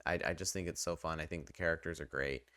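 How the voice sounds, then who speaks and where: A man with a very low, smooth voice talks through a game review like a video host. He is conversational and rambling, clearly keen on his subject. The sound is dry and close, with clean, resonant delivery. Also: steady tone, fast, sharp tone, no echo. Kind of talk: casual, loosely scripted game review commentary.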